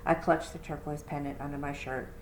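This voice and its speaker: A woman reading a children's novel aloud in an expressive first-person storytelling style, like a librarian. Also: deep voice, a little fast. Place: indoor room, roomy sound. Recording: echoey room with faint noise.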